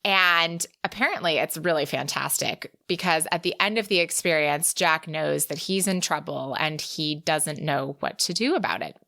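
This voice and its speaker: A woman's voice: sits low, moderate pace, vocal fry, few pauses, pushed and bright. A woman co-hosting a podcast, giving a casual book recap in a chatty, playful, exclamatory way. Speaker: podcast co-host.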